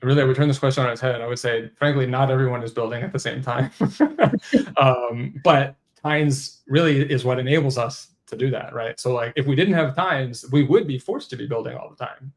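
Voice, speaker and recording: A man's voice: medium pitch, fairly quick, gravelly. A man speaking as an engineer and guest in a webinar, answering in an informal, explanatory way as if thinking aloud. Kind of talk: webinar interview answers, conversational tech talk.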